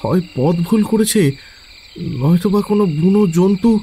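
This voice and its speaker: A man's voice: sits high, unhurried, warm tone, a little hiss or hum. A man playing an anxious, earnest teacher responsible for schoolboys in an audio story. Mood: grave worry. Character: worried, responsible male teacher guarding schoolboys on a trip.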